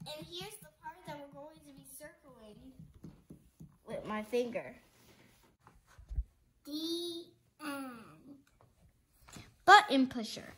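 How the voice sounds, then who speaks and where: A child reads a picture book aloud with playful expression. The voice is very high and bright, and the reading is unhurried, over a slightly noisy home recording. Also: lively intonation. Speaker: read-aloud presenter.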